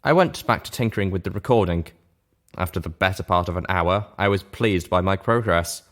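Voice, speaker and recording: A man's voice: sits low, fairly quick, fairly bright tone. A man reading a first-person story aloud as scripted narration. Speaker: narrator, reader of fan fiction.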